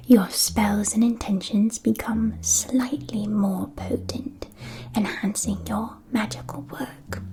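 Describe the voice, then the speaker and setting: A woman softly whispering a scripted ASMR roleplay as a shopkeeper, breathy and deliberate, with careful enunciation. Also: medium pitch, rough recording. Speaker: ASMR roleplayer as a witch school supply shopkeeper.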